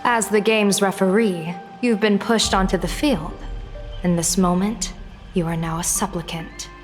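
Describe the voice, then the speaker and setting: A composed, shrewd general who weighs every word, played by a woman. She speaks very slowly at a middle pitch, in a long reverberant space. Game music sits underneath. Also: close to an everyday voice. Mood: solemn. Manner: calm, deliberate, measured. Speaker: game character.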